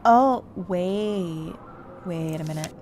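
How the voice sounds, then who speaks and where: A woman's voice: lowish voice, extremely slow, noisy background. A woman giving casual commentary as she plays a video game, thinking aloud to herself. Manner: thinking aloud, self-talking. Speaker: game streamer.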